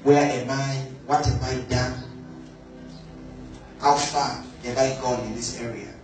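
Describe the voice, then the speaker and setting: Male preacher, measured emphatic delivery; distant mic in a very reverberant room. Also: medium pitch, fairly even intonation. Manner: slow, emphatic, repeats key words. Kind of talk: sermon. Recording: very echoey room, voice from afar, faint music.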